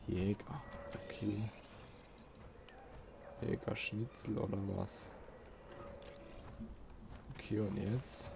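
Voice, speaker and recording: A man's voice: fairly low pitch, fairly even intonation, very slow, gravelly, pauses often, noisy background. A man giving casual gameplay commentary, musing informally to himself and his viewers as a let's play host.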